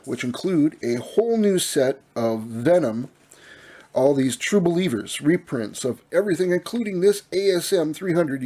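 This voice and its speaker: A man's voice: medium pitch, dark tone. A man losing his voice talks casually about his new comic books, rambling with many fillers and restarts. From Minnesota. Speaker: comic book vlogger. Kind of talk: casual show-and-tell vlog. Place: home room with collectibles.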